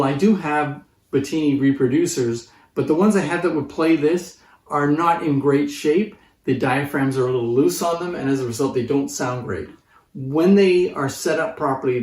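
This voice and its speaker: A man's voice: mid-range voice, darkish tone. Middle-aged man in a roomy, distant recording. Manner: conversational, explanatory. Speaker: presenter and collector of antique phonographs. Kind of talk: informal explanatory vlog to camera. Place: living room, seated on a sofa.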